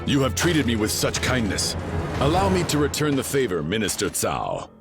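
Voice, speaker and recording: A man's voice: medium pitch, big pitch swings, normal speed, over music. A man playing a proud, honourable ancient warrior general, formal and solemn, in a video game cutscene. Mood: resolute.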